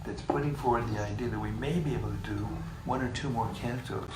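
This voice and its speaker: A man leading a scripture class, reading passages in a measured way and joking with the group between them. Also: medium pitch. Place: room with a live group. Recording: echoey room, distant mic, faint hiss.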